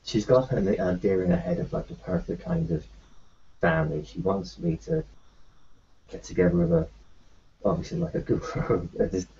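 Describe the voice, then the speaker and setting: Gravelly man, halting reassurance. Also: deep voice, sing-song, mellow, muffled recording, a little hiss or hum. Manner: halting, reassuring, many restarts. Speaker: tabletop roleplayer. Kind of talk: in-character roleplay chat.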